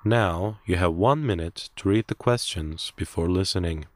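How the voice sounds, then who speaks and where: A man reading a scripted listening-test script in a measured, clear way, as narrator and interviewee. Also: low voice, no echo, clean studio sound.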